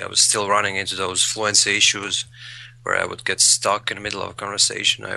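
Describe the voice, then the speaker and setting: A man's voice: lowish voice, vocal fry, bright, forceful tone, poor audio. A man, a guest in an interview, speaking thoughtfully and repeating words as he searches for phrasing. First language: Latvian. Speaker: guest, English learner. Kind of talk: conversational interview.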